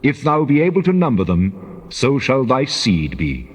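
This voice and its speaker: A man voicing God as a solemn, commanding divine figure, declaiming deliberately in a dramatized scripture reading. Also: mid-range voice, huge pitch swings. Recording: long cavernous reverb.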